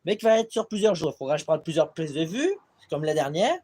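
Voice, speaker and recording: A man's voice: fairly high pitch, animated, fairly quick, a little mellow, husky. A young man from Quebec rambles through a casual vlog into his tablet's built-in mic. He drifts from one gripe to the next, swearing freely and running himself down. He sounds loose and unscripted throughout. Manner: rambling, swears a lot, self-deprecating. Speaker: vlogger. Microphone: tablet camera mic.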